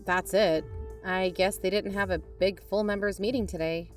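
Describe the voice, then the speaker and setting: Casting: woman investigator, matter-of-fact and reassuring, mid pitch. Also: fairly even intonation, normal speed. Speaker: podcast investigator. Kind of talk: scripted audio drama dialogue. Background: music.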